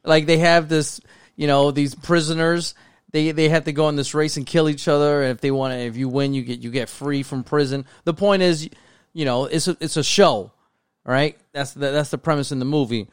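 Slangy American man with a higher-pitched voice. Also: fairly even intonation, normal speed, clean tone, no room sound, clean studio sound. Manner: profane, slangy, opinionated. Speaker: podcast co-host. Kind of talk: casual chat about TV shows.

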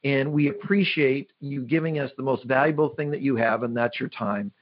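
A man hosting a podcast, closing the show with slow, deliberate phrasing and fillers. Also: mid-range voice, fairly even intonation, dull audio. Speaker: podcast host. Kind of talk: podcast interview and outro.

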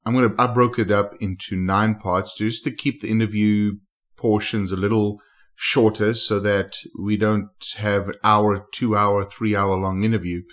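A man's voice: on the low side, flat delivery, unhurried. A man hosting a podcast, introducing guests in an enthusiastic, rambling way. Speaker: podcast host. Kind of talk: casual podcast interview.

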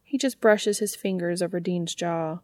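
A woman's voice: lowish voice, moderate pace, no room sound. A woman narrating a piece of written fiction in the style of an audiobook.

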